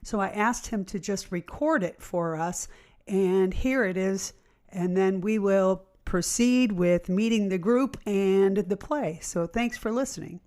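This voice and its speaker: A woman hosting, giving an informal introduction in a chatty, deliberate way. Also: mid-range voice, mellow. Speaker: host and theater company director.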